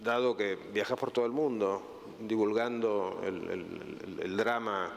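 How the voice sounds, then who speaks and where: A man with an Argentine accent moderating a panel, halting and repeating words as he asks his questions. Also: lowish voice, steady tone. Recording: echoey hall, crowd faintly behind.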